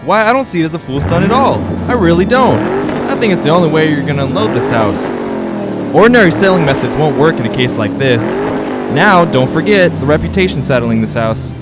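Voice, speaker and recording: A man's voice: fairly high pitch, lively intonation, a little fast, loud music behind the voice. A man playing a folksy, eager real estate agent with persuasive patter in a 1940s-style radio drama. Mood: breezy, persuasive.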